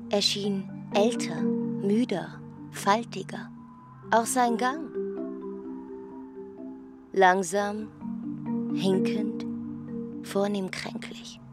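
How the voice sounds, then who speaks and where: A woman narrating a literary radio drama in deliberate, spare phrases. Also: medium pitch. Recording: loud marimba music behind.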